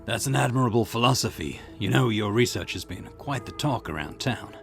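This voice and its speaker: A man playing a calm, politely persistent police detective in a visual novel, measured and courteous as he questions. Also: mid-range voice, gravelly, soft background music. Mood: polite, probing. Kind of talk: acted visual novel dialogue.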